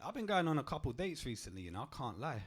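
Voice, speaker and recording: A young man performs stand-up on a club stage. His delivery is deadpan and punchy, landing each line cleanly at a middling pitch. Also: fast, husky. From England. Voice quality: deep voice. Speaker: stand-up comedian. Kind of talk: stand-up comedy set.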